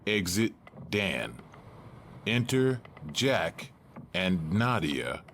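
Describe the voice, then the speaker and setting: A man's voice: low voice, sing-song, drawn-out words, a bit bright. A man narrating scripted stage directions for an audio drama, with faint noise behind him.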